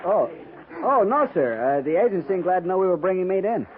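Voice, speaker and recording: An American actor playing an eager, respectful junior cavalry lieutenant in a 1950s radio western, earnest and a little hesitant. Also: male voice, high-pitched, sing-song, fairly quick, phone-quality sound. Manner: polite, earnest, slightly hesitant. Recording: thin, noisy 1950s radio recording.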